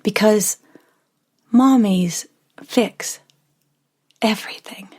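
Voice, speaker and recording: A woman voicing an eager little girl of almost five, speaking high and breathy, with some lines half-whispered. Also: drawn-out words, a little mellow. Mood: earnest. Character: small excited little girl nearly five.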